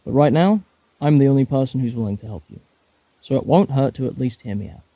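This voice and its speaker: A man's voice: medium pitch, expressive, quick pace, gravelly, mellow, no room sound. A man playing an eccentric, persistent time traveller in a fan audio drama, flippant yet earnest and reassuring.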